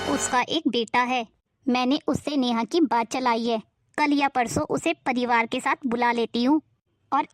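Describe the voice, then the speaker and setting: A woman voicing a middle-aged, scheming aunt who scolds and accuses her family in an animated drama. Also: a little high, no room sound, lightly acted. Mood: domineering. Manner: scolding, accusing, sharp-tongued.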